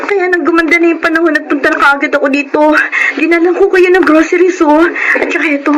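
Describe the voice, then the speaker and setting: A woman's voice: very high-pitched, fairly even intonation, fast, noisy background. A woman playing an ambitious, defiant young Filipina in a radio drama, with melodramatic, emphatic delivery. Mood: pleading. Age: young adult.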